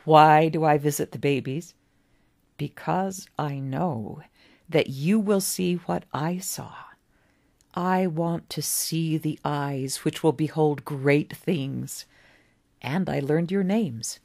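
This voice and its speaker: A woman playing a slow, gentle, creaky old man. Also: deep voice, full character voice. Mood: fond, musing. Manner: musing, kindly, slow, with rhetorical asides. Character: gentle, wistful, very old man, self-styled professor.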